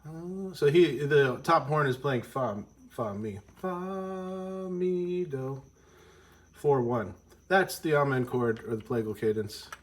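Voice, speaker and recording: A man's voice: medium pitch, lively intonation, a little hiss or hum. A man giving an informal music tutorial, thinking aloud and often interrupting himself as he explains. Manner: halting, self-interrupting, explanatory. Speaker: music teacher, video host.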